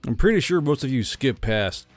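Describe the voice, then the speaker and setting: A man's voice: mid-range voice, expressive, normal speed, faint music behind. A man hosting a channel with a casual, rambling, self-mocking intro in an offhand manner. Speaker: channel host.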